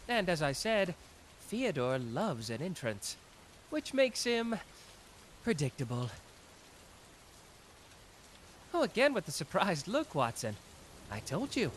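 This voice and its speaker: A man acts out a smug young male detective in an anime audio drama. His voice sits on the high side and swings in pitch as he teases, pausing theatrically with total self-assurance. Background noise and ambience run under the recording. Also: very animated, a bit bright, exaggerated voice. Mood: smug, teasing. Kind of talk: scripted anime roleplay ASMR audio drama.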